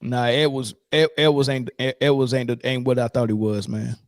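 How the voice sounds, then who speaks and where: A man's voice: medium pitch, flat delivery, normal speed, no room sound, studio quality. A man co-hosting a sports podcast, talking in loose slang with frequent restarts. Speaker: podcast co-host. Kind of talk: casual sports chat.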